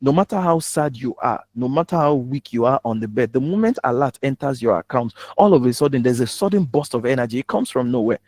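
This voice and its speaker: A man's voice: mid-range voice, animated, fast talker, few pauses, a little mellow. Nigerian guy teaching public speaking, really emphatic, dry sound with no room echo. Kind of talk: live instructional talk.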